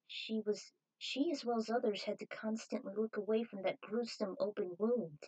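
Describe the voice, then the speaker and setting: A woman's voice: medium pitch, moderate pace, darkish tone, muffled recording. A woman reading fan fiction aloud as an amateur narrator. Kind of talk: amateur story narration with acted dialogue.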